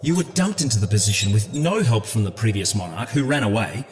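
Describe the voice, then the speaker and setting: An actor playing a loyal, reassuring emperor and old friend in a fantasy audio drama, earnest and insistent. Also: male voice, lowish voice, lively intonation, brisk, lightly acted.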